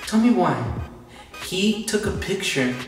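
Young guy vlogging in an abandoned prison, kinda high voice, super echoey, music behind. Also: expressive, unhurried. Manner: rambling, joking storytelling. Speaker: vlog host. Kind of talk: casual vlog chat. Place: dark abandoned prison, indoors. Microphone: handheld camera at arm's length. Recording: echoey concrete halls with music.

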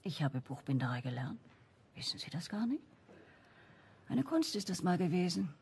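An actress playing a kindly, fussy older library clerk in a crime radio play, chatty and wistful with a croaky voice. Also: female voice, fairly low pitch, very animated, normal speed, a little mellow, heavily acted. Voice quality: croaky, husky. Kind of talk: German radio crime drama acting.

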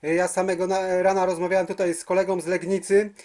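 A man's voice: sits high, little pitch movement, fast, a little mellow. A man chatting casually as a CB radio operator, hesitating and repeating words. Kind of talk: casual radio contact chat. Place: at a home radio base station.

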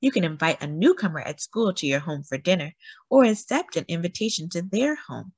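Woman, classroom read-aloud host; slightly breathy, dry close recording, careful storybook delivery. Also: on the low side, dry recording. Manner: slow, careful storybook reading with lively rises on key words. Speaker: children's book reader.

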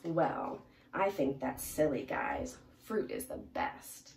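A woman's voice: low-pitched, huge pitch swings, slow pace, gravelly, darkish tone, pitched below the performer's own voice, full character voice. A woman voicing a cheerful fruit-loving piranha in a children's storybook, coaxing his friends in an eager, persuasive way. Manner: eager, persuasive, rhyming offers. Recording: roomy, distant mic, faint noise.

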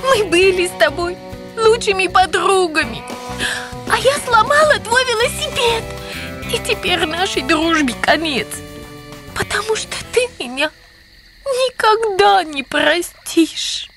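A woman's voice: extremely high pitch, lively intonation, slow pace, heavily acted. A woman voicing a theatrical, self-pitying winged pig in a cartoon, gushing and plaintive, with music behind her. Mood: upset, accusing. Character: melodramatic, self-pitying winged pig girlfriend, squeamishly dramatic. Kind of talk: animated cartoon voice acting.

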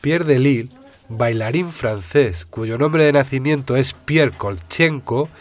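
An actor playing an earnest, casual investigative journalist in a radio drama, colloquial and explanatory. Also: male voice, mid-range voice, slow pace, natural voice. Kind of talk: naturalistic Spanish radio drama dialogue.

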